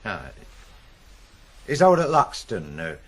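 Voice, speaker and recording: A man playing a curt, guarded middle-aged working-class father in a radio play, gruff and halting, with a Northern English accent. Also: fairly low pitch, wildly swooping pitch, fairly bright tone, full character voice. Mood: halting concern. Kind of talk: 1980s British radio drama acting.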